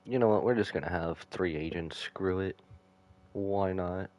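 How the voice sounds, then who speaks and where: A man's voice: deep voice, moderate pace, muffled recording. A man giving casual, slangy live commentary as he streams a game, often muttering asides to himself. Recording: loud game music behind.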